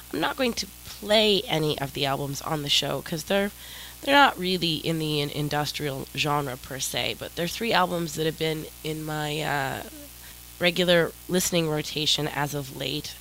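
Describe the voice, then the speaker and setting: Stuffed-up low-voiced woman radio host. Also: fairly bright tone, low-quality recording. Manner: rambling, apologetic, self-deprecating. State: stuffed-up nose from a cold. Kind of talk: casual music show chat. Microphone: home mic with static. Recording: static-filled home mic with faint noise.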